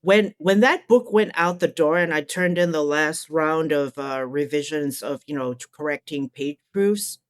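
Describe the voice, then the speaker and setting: A woman's voice: low voice, normal speed, few pauses, no room sound, clean studio sound. A middle-aged woman answering as an interview guest and academic author, candid, colloquial and emphatic.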